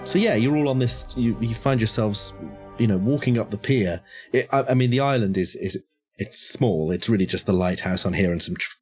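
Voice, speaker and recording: A man's voice: on the low side, brisk, darkish tone, faint music behind. A man narrating a tabletop horror game as game master, hesitant with many restarts.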